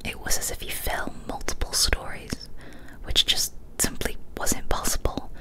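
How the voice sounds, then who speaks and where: A young woman reads a story aloud in a soft breathy ASMR whisper, hushed and deliberate, close to a studio microphone. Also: low-quality recording. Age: young adult. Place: small decorated room indoors. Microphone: large studio microphone up close.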